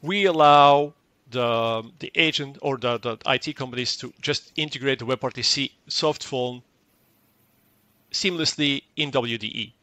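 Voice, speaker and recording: A man's voice: medium pitch, a little slow, pushed and bright, dry recording. A man whose first language is Dutch, explaining a product in an interview and often repeating words as he goes. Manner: fluent but repeats words and restarts, acts out short quoted exchanges. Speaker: tech company guest.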